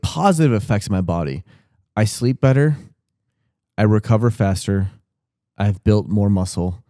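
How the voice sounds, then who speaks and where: A man's voice: fairly low pitch, dark tone, dry recording, clean studio sound. A man in his thirties talking casually on a podcast, candid and rambling, with restarts and casual swearing. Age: 30s. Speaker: podcast co-host.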